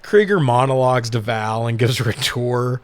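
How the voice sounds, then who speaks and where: A male podcast co-host talks through a film in loose, conversational style. His voice sits at a middling pitch. He swears a lot and sounds sarcastic and exasperated. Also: animated, moderate pace. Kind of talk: casual movie recap chat.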